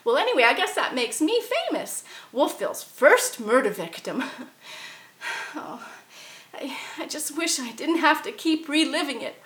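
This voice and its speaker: A woman playing the ghost of a murdered 1870s wife, telling her story theatrically, swinging from shrieks to hushed confiding. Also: sits high, big pitch swings, normal speed, echoey room. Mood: wistful. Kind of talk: costumed ghost monologue.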